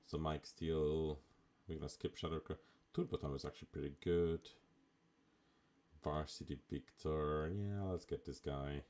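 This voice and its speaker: A man with a raspy voice, chatting casually as a live streamer while he plays a management game. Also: extremely low pitch, normal speed. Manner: relaxed, chatty, with fillers. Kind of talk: casual live gameplay commentary.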